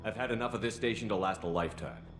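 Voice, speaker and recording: A man's voice: lowish voice, very fast, over music, natural voice. A man playing a tough, no-nonsense military commander in a video game cutscene, blunt and demanding. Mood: wary.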